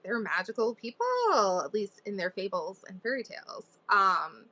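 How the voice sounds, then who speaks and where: A woman's voice: mid-range voice, wildly swooping pitch, moderate pace, bright, forceful tone. A woman from New England, an author chatting casually as a guest and laughing often as she talks. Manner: giggly, laughs often while talking. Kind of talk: casual chat.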